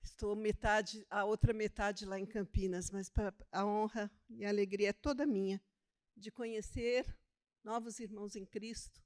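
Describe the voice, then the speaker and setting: An older woman from Brazil's Northeast, a theologian and author, speaking as a guest in a relaxed interview, self-deprecating and quick to laugh. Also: mid-range voice. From Northeast Brazil (Pernambuco). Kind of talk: conversational interview.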